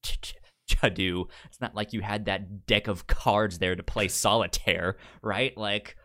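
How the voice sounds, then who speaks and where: A man's voice: fairly low pitch, fairly even intonation, a little slow, pushed and bright. A man co-hosting a podcast, chatting casually about films, often stammering and repeating words as he goes. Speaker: podcast co-host. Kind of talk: casual movie discussion.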